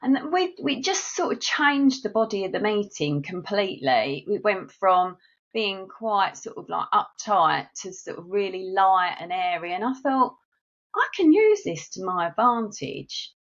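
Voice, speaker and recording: A woman's voice: medium pitch, big pitch swings, unhurried, fairly bright tone, highs cut off. A middle-aged woman with a South East London accent, telling stories in a friendly, chatty way as a podcast guest. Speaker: guest, marketing agency director. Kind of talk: casual interview chat.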